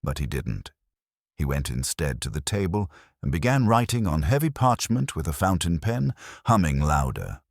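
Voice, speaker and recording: A man's voice: extremely low pitch, sing-song, normal speed, dry recording, studio quality. A man reading a first-person detective story as an audiobook narrator.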